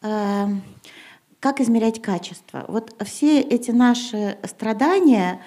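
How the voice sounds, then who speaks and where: Hesitant woman teacher with a mellow voice. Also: fairly high pitch, takes it slowly. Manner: hesitant, many fillers, rising to emphatic. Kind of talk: panel discussion. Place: gymnasium hall in front of an audience.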